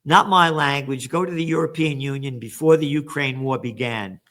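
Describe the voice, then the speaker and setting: An older man hosting a news commentary, reading headlines and ranting with angry, sarcastic, profane remarks that swing from low mutters to shouts. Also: normal speed. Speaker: trends commentator and host.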